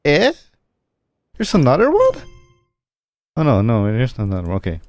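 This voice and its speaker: A man's voice: medium pitch, wildly swooping pitch, normal speed, darkish tone, no room sound, soft background music. A man giving casual gameplay commentary, thinking aloud in a loose, rambling way as he streams. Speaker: game streamer.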